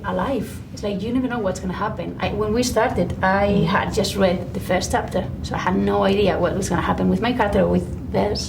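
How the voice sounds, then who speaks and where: Expressive woman. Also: mid-range voice, vocal fry, noisy background. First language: Spanish. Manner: expressive, acts out her own reactions. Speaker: actor, interview guest. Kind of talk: animated interview answers.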